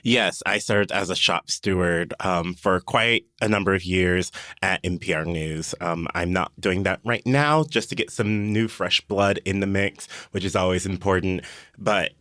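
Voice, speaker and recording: A man's voice: deep voice, unhurried, bright, forceful tone, no echo, clean studio sound. A man chatting casually as a guest on a podcast panel. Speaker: podcast guest, newsroom coordinator.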